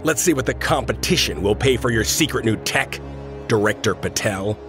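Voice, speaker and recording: An actor playing a gruff, defiant mercenary squad leader in a video game, with a sardonic, blunt way of talking. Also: male voice, on the low side, animated, normal speed, natural voice. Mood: sardonic, scheming. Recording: game music behind the voice.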